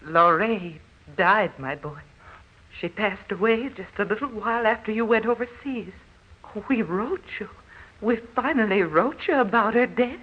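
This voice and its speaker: A woman's voice: mid-range voice, lively intonation, a little slow. A woman playing a fretful, pious older country aunt in an old radio drama, folksy and earnest. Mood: distressed, grave. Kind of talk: 1940s radio drama acting. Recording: muffled old radio recording.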